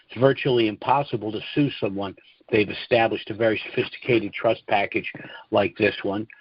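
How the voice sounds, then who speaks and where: A middle-aged or older American man hosting a client conference call, speaking informally and in a measured way with hesitant mid-sentence pauses. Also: on the low side, breathy edge, dry recording. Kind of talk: informal financial monologue to clients. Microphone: conference call line. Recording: dull, dry, lo-fi conference call audio.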